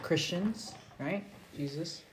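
Low-voiced mother leading a family discussion. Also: slow pace, a crowd in the background. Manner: asks questions and prompts the kids. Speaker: podcast host and parent. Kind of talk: casual family scripture discussion. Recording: roomy home recording with background noise.

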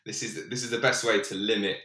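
Self-deprecating man with a deep voice in a roomy echo. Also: fairly even intonation, fairly quick, bright, forceful tone, slight background noise. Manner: self-deprecating, laughs while talking. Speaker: podcast guest. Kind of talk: casual chat.

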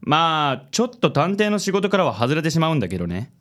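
A man playing a suave, vain handsome company president in a Japanese radio comedy, smooth and affected, dropping in English words. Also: a little high, big pitch swings, fairly quick, a bit bright. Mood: breezy. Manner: smooth, self-assured, affected. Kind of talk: Japanese comic radio drama acting.

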